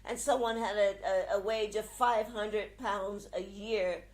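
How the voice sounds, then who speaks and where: A woman's voice: mid-range voice, steady tone, measured pace, rough recording. A woman hosting a music show, interviewing in a casual, colloquial and exclamatory way. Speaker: blues show host.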